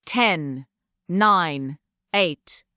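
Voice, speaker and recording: A woman's voice: fairly low pitch, drawn-out words, pushed and bright, dry recording, clean studio sound, exaggerated voice. A woman playing a dramatic countdown announcer in a script table read. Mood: tense, counting.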